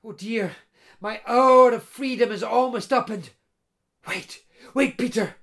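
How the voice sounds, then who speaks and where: A storyteller voicing a sorrowful young bride trapped in a fairy underworld, speaking softly and hesitantly with repeated words. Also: mid-range voice, a little slow.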